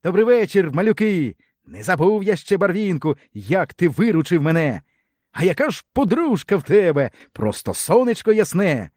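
A man's voice: high voice, sing-song, moderate pace, a little mellow, no echo, studio quality, voice pushed up. A man voicing a friendly village tractor driver in a children's storybook, jovial and emphatic. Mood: delighted.

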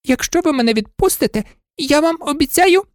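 A woman's voice: sits high, animated, fairly quick, no room sound, clean studio sound, voice pushed up. A woman voicing a frightened, chattering old servant woman who pleads and wails. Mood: eager chattering. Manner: pleading, wailing.

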